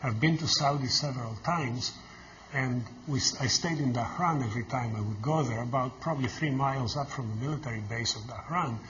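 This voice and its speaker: An older man fields questions from a lecture audience, speaking directly and with emphasis. His voice sits at a middling pitch for a man. The recording sounds slightly muffled, with faint noise underneath. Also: fairly quick, fairly bright tone. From Greece. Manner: direct, emphatic, plain-spoken. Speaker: guest lecturer. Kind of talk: lecture Q&A answers. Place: lecture hall.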